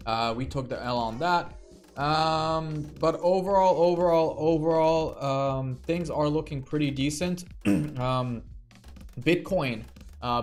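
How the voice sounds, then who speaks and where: A man hosting a casual crypto trading livestream, rambling with lots of fillers and restarts. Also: medium pitch. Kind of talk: casual live stream commentary.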